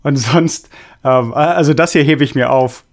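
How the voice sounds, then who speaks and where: A man's voice: medium pitch, measured pace. Casual man hosting a podcast. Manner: relaxed, hesitant with many fillers. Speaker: podcast host. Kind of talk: casual podcast chat reading listener mail.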